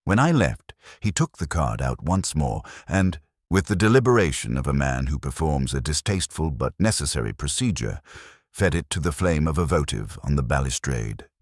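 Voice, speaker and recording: A man reading a first-person detective story as an audiobook narrator, in a measured hardboiled style. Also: rumbling low, animated, dry recording, clean studio sound.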